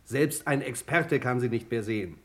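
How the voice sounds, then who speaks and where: A man plays a hard-working auto mechanic in a German radio crime drama. He sits at a middle pitch and talks plainly and obligingly. He comes across as modest and decent. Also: normal speed, fairly bright tone, close to an everyday voice. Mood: modest, chatty.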